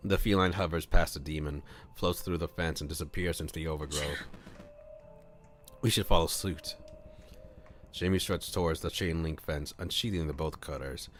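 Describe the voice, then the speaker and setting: Male streamer narrator, very low register, expressive and informal, with background music. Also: normal speed. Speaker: streamer reading a visual novel aloud. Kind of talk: live read-aloud with character voices and casual asides. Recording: music playing behind the voice.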